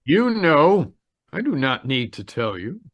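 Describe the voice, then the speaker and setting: A man's voice: on the high side, very animated, unhurried, dry recording, dull audio. A man acting a stern, formal homicide lieutenant in an old-time radio drama. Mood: solemn, judging. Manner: formal, authoritative. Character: stern homicide lieutenant, masterminding a trick confession.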